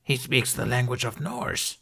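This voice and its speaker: A man's voice: medium pitch, big pitch swings, unhurried, gravelly, bright, forceful tone, voice pushed up, full character voice. A man voicing a proud, aggressive female dragon with a dramatic, haughty delivery in an audiobook. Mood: surprised. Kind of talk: audiobook character voice.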